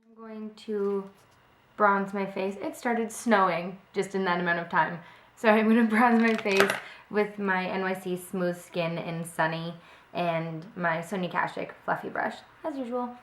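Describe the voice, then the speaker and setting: A woman's voice: mid-range voice, measured pace. A young woman who is a little sick, chatting casually through a makeup tutorial with frequent ums, filmed on a close webcam in her home kitchen. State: slightly sick, voice a bit off. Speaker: beauty vlogger. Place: home kitchen by a window, indoors. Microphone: webcam at close range. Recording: echoey room sound.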